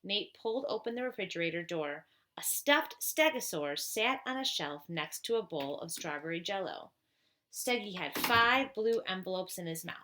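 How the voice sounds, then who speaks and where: A woman reading a children's storybook aloud in an expressive style, with chatty asides to her young listeners. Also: lowish voice, normal speed, slight background noise. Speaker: teacher reading aloud to pupils.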